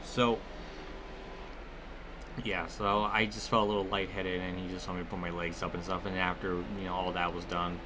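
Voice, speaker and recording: A man's voice: deep voice, flat delivery, moderate pace, background noise. A man giving casual, rambling gameplay commentary mixed with personal storytelling, conversational and free with swearing. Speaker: gaming video creator.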